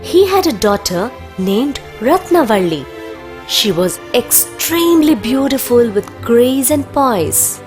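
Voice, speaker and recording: A woman reading scripted narration for children's animated stories. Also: fairly high pitch, takes it slowly, music in the background.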